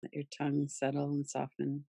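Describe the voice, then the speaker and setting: A woman leading a guided relaxation meditation in a soothing, gentle, unhurried manner with pauses. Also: deep voice, monotone, vocal fry, dry recording. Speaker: yoga nidra meditation guide.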